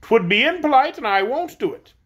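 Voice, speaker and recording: A young army private from a storybook, played by a woman, courteous yet stubborn. The voice is raised in pitch and speaks plainly and firmly, in a matter-of-fact way. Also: big pitch swings, fairly quick, a bit bright, breathy edge, full character voice. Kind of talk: storybook character voice.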